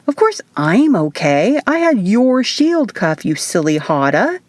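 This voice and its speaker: Audiobook role: woman as young witch, high, fretful, teasing and bossy, dry close recording. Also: sing-song, a little slow, no echo. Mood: indignant. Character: excitable, cheeky, fussing young witch woman. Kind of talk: audiobook character voice.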